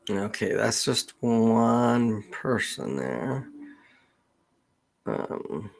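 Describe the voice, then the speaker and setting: Guy chatting on a livestream, husky low voice, kinda halting, thinks out loud. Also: very animated. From Canada. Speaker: genealogist hosting a live Q&A. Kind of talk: unscripted livestream chat.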